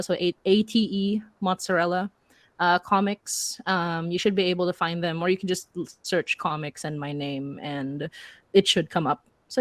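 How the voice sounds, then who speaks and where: A woman's voice: fairly low pitch, no echo. A woman talking casually on a panel in an emphatic, giggly, stop-start way. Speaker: panelist, audio drama and comics creator. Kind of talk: casual, animated panel talk.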